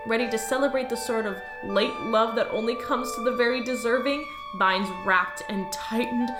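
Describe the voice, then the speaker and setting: A woman's voice: fairly high pitch, close to an everyday voice. A woman playing an eager rookie alien clerk. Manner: dreamy storytelling, pleading with coworker. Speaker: voice actor. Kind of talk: audio drama acting. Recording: roomy echo over loud music.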